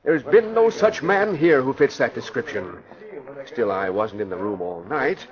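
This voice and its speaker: A man's voice: mid-range voice, lively intonation, measured pace, natural voice. A man playing an oily old mission host. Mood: smooth. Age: older. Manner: oily, ingratiating, falsely solicitous. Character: smooth-talking older male mission host, secretly a crook. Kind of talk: 1940s radio acting. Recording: dull old radio broadcast.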